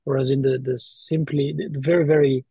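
A man's voice: medium pitch, fairly even intonation, normal speed, darkish tone, husky, no echo. A man hosting a podcast in casual chat, often repeating words and tagging his points with "right?". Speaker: podcast host.

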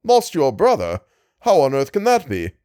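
Man doing a stiff, irritable gentleman. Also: medium pitch, huge pitch swings, normal speed, dry recording, clean studio sound. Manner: stiff and dryly formal.

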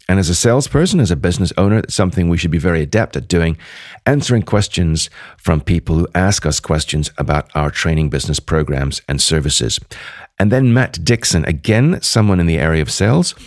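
A hoarse man hosting a podcast, wrapping up a solo episode and previewing guests, talking rather quickly with frequent restarts. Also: sits low, lively intonation, no room sound, clean studio sound. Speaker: podcast host. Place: at a sales conference.

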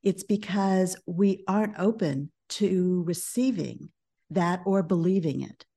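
A middle-aged woman speaking as a podcast guest, a business coach who talks in a calm, measured way. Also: fairly low pitch, vocal fry, breathy edge. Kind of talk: podcast interview.

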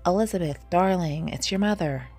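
A woman's voice: on the low side, moderate pace, darkish tone, slightly rough, soft background music, pitched above the performer's own voice. A woman voicing a middle-aged mother in an audiobook, chatty, blunt and insistent. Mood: affectionate. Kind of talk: audiobook character voice.

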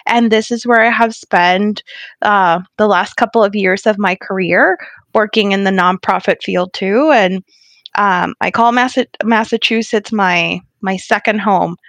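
A middle-aged woman from Puerto Rico chats easily as a podcast guest and laughs often as she talks. Her voice sits at an ordinary middle pitch. It is recorded close and dry, with almost no room sound. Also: unhurried, a bit bright, no room sound. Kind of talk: casual interview conversation.

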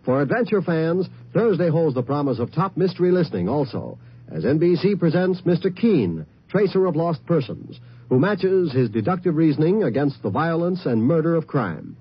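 A man's voice: on the high side, big pitch swings, normal speed, breathy edge. A man announcing programs in a scripted, promotional 1950s network radio style. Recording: muffled vintage radio broadcast.

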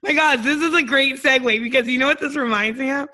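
A woman in her thirties with a slightly hoarse voice, co-hosting a podcast in a casual, joking, giggly way. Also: sits high, quick pace, pushed and bright. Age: 30s. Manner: giddy, giggly. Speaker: podcast co-host. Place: remote video call.

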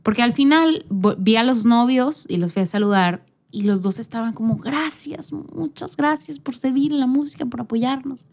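A woman's voice: fairly high pitch, normal speed, few pauses, a little mellow. A woman podcast host giving a casual, personal faith talk, conversational and earnest, speaking directly to the listener. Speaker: podcast host and church singer.

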